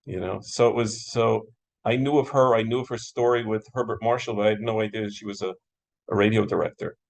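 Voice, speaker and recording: A man's voice: fairly low pitch, steady tone, a little fast, creaky, a little mellow, low-quality recording. An American man hosting a casual interview, chatting with eager enthusiasm and agreeing readily. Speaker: interviewer, moderator. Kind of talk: casual interview chat about classic radio.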